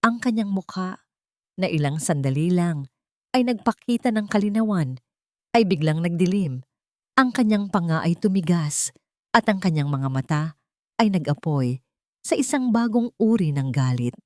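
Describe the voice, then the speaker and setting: A woman reading a scripted love drama as a narrator, with emotive, deliberate phrasing. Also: fairly low pitch, animated, dry recording, clean studio sound. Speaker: story narrator.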